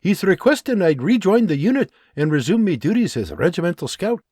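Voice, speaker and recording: A man voicing an eager young colonial scout who talks in an excited, rustic way in an audiobook. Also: fairly high pitch, normal speed, breathy edge, no room sound, studio quality, pitched above the performer's own voice. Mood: spirited. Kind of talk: audiobook character voice.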